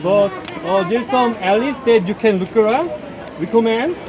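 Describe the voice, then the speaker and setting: Male tour guide, Korean accent, notably high voice, halting but instructive delivery, busy outdoor crowd behind. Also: highs cut off. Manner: halting, instructive, often checks understanding. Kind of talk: explaining a route to tourists. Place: outdoors at a busy tourist site. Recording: crowd chatter, outdoor live capture. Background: crowd noise.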